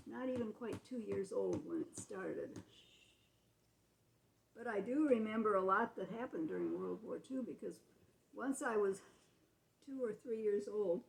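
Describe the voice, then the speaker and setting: A woman's voice: medium pitch, vocal fry, darkish tone, low-quality recording. An American woman in her eighties telling childhood memories to family in a casual, explanatory, matter-of-fact way. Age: 80s. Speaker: grandmother recalling her childhood.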